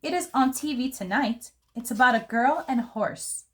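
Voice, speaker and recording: A woman reading a picture book aloud to children in an expressive, careful, theatrical storytelling manner. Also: on the high side, normal speed. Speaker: children's read-aloud host.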